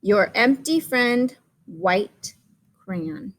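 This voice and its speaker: Woman playing neglected storybook crayon, plaintive, high voice, slow, drawn-out complaints. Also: animated, slight background noise, exaggerated voice. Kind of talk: expressive children's picture book read-aloud.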